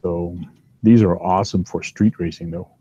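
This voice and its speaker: A man with a big, low voice rambles casually as he hosts from his workbench at home, filling gaps with ums and uhs. The sound is dry and a little dull, with no room echo. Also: fairly even intonation, normal speed, mellow, slightly rough. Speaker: host. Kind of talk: casual chat while working at a hobby bench.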